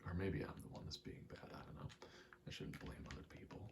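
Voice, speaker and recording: A young adult man in a quiet, casual ASMR-style ramble, speaking candidly and hesitantly, often trailing off. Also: fast talker, noisy background. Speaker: video maker.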